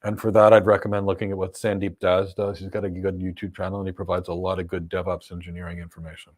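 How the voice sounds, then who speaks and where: A man's voice: low voice, little pitch movement, fast talker. An American man hosting a live career Q&A as a cloud career coach, answering viewer questions emphatically with blunt one-word verdicts. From United States.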